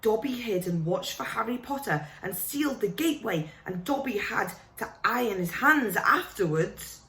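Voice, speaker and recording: A woman doing a high, fretful house elf. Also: animated, moderate pace, sharp tone, reverberant, low-quality recording. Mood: pleading. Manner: high, anxious, speaks of himself by name. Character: pleading, tearful male house elf.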